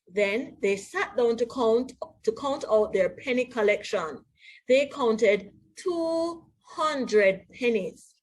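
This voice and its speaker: A woman with a Jamaican accent reading a storybook to young pupils as their teacher, in an expressive, deliberate way. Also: fairly high pitch, low-quality recording. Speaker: primary school teacher. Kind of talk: read-aloud storybook lesson for young children.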